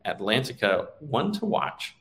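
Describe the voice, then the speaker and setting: A man's voice: medium pitch, big pitch swings, measured pace, fairly bright tone. A man co-hosting a stock market show, talking through market commentary in a conversational style.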